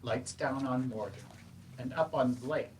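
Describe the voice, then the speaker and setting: A man's voice: medium pitch, measured pace. A man reading stage directions as scripted narration at a staged play reading.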